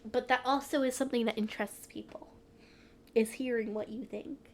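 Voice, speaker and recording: A woman's voice: on the high side, steady tone, normal speed. A young woman with a stuffy nose, chatting casually and rambling on a live stream from home. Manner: rambling, trailing off, frequent laughs.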